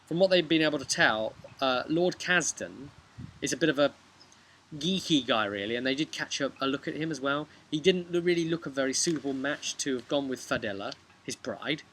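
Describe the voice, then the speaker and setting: Bright-voiced man chatting about tabletop gaming. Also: medium pitch, animated, a little fast, a little hiss or hum, rough recording. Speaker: tabletop game master. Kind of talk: casual vlog recap of a role-playing session. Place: outdoors on a bench beside a brick house.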